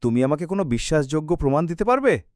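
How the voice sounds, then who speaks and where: A man's voice: on the high side, sing-song, racing, a little mellow, no room sound. Guy doing a ghost king for a cartoon, proud but persuadable, dry recording. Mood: challenging.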